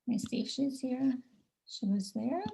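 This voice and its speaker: A woman from Russia with a Russian accent, leading an online prayer service over a video call and speaking haltingly with many fillers. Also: sits high, vocal fry, dark tone.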